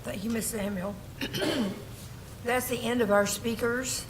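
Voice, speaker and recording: A woman chairing a board meeting, running through the agenda formally; she is losing her voice and keeps clearing her throat, with many ums and small self-corrections. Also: on the high side, steady tone, slow, noisy background. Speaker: board chair presiding over a public meeting. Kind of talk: formal meeting procedure, reading agenda and script.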